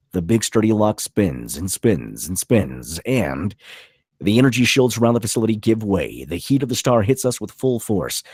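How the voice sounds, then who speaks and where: A man's voice: fairly low pitch, darkish tone, husky, no room sound, clean studio sound. A man reading stage directions as dramatic scripted narration, in punchy, clipped phrases. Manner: punchy, clipped delivery with voiced sound effects.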